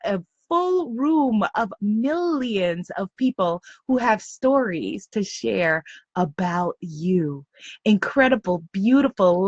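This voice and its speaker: A woman's voice: fairly high pitch, dry recording, muffled recording. A woman leading a guided visualization, speaking soothing, repetitive affirmations. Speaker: meditation guide.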